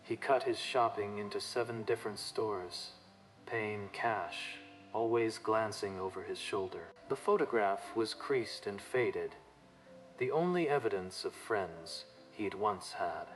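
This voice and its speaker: A man's voice: on the low side, unhurried, faint music behind. A man narrating a dramatic manga story from a script.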